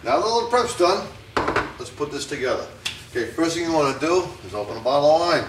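A middle-aged man hosting a home cooking show, walking through a recipe in a relaxed, folksy way with wry jokes. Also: on the high side, fast talker, noisy background. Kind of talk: casual cooking demonstration. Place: home kitchen, pans sizzling. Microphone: camera mic from a distance. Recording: echoey home video from far off.

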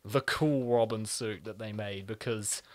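A man's voice: fairly low pitch, a little slow. A man co-hosting a casual comic reading, with wry, incredulous commentary. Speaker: co-host.

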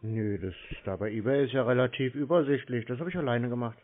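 A man with a medium-pitched voice talks casually over a tinny, narrow phone connection. He stays unruffled and needles the other person in a dry way. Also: fast. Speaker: scam-baiting call recipient.

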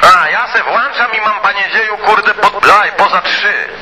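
Higher-voiced man on noisy CB radio. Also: unhurried. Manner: colloquial and rambling, peppered with mild expletives and filler phrases. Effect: CB radio transmission. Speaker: CB radio hobbyist. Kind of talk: casual chat over CB radio. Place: two-way CB radio conversation.

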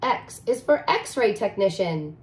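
A woman in her thirties, a teacher, reading a picture book aloud to young children in an expressive, sing-song way, recorded close to the camera in a living room. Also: medium pitch, takes it slowly. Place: living room with couch, indoors.

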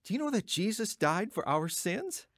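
An actor in an audio drama playing an earnest, emotional, wavering ex-convict who has newly found religion. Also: male voice, sits high, very animated, normal speed, fairly bright tone, no echo, clean studio sound. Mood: excited, insistent. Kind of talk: audio drama acting and first-person narration.

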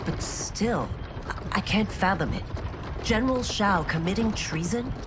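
An actress playing a loyal, determined guard captain who speaks earnestly. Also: female voice, mid-range voice, animated, unhurried, noisy background, lightly acted. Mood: resolute. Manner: earnest, resolute.

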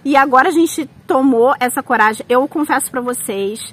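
A woman's voice: high-pitched, moderate pace, fairly bright tone, slightly rough. A woman in her thirties with a Brazilian accent, talking casually and earnestly as a vlog host, encouraging her viewers. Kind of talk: casual vlog talk and narration.

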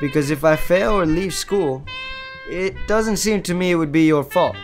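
A man's voice: on the high side, moderate pace, soft background music, voice pushed up, close to an everyday voice. A man playing an earnest, deferential young college student in a dramatized first-person reading of a novel. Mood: respectful, hesitant. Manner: earnest, respectful, oratorical when addressing a crowd.

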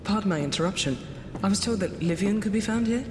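A woman's voice: medium pitch, animated, quick pace, a little mellow, a little hiss or hum, close to an everyday voice. A woman playing a well-spoken young plains warrior with formal, precise speech in a fantasy audio drama. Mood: polite, reserved.

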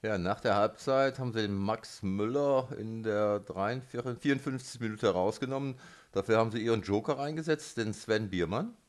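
A man's voice: fairly low pitch, normal speed. A man hosting a local radio sports interview, putting questions as the interviewer.

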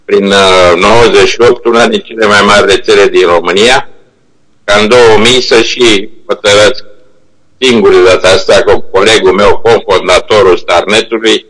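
An older man with a very deep voice talks informally over a video call. He looks back on the past in a halting way, often pausing to search for the right word. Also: steady tone, fairly bright tone, low-quality recording. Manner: hesitant, halting, searching for words. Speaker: internet company co-founder, remote guest speaker. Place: remote video call played to a meeting room. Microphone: video call shown on projection screen.

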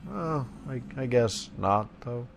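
A man's voice: medium pitch, lively intonation, slow, gravelly, a little hiss or hum. A man giving casual commentary as he plays a game, muttering playful asides. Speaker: gamer narrating his playthrough. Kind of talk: casual gameplay commentary, reading quest text aloud.

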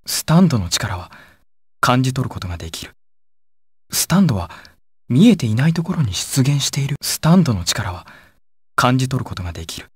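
An actor playing an intense, volatile young male gangster with a husky voice in dramatic video game dialogue. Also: mid-range voice, sing-song, brisk, no echo, studio quality. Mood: cool, measured. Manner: dramatic, swinging from controlled analysis to urgent shouting. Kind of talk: dramatic Japanese game dialogue and battle clips.

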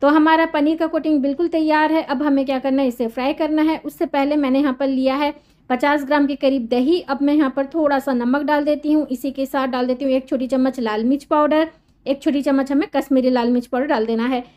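A woman with a high, light voice talks through a recipe in a voice-over. She explains each step patiently and steadily, repeating the key instructions. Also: steady tone, fairly quick, darkish tone. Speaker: cooking channel host.

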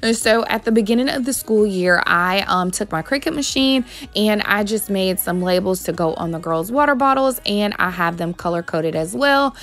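A woman's voice: mid-range voice, fairly bright tone. A woman in her thirties narrating a home vlog in a chatty voice-over, often calling out to viewers as "you guys". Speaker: vlogger.

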